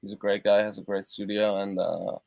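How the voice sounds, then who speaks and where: A man from Europe, a musician guest on an interview over a video call from his treated home studio, talking in a halting way with many fillers and restarts. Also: lowish voice, flat delivery, vocal fry, a little mellow, no room sound.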